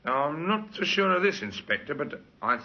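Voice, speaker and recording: A working-class cab driver in an old radio play. He is deferential and halting, with an animated rise and fall. The recording is dull and dated. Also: a little high. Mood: deferential, earnest. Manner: hesitant, respectful, colloquial. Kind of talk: 1950s radio crime drama acting.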